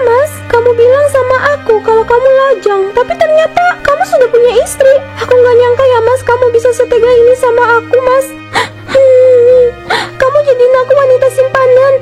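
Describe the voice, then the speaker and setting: A woman voicing a young kept mistress who scolds her lover, shrill and close to tears. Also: steady tone, quick pace, few pauses, over loud music. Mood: furious.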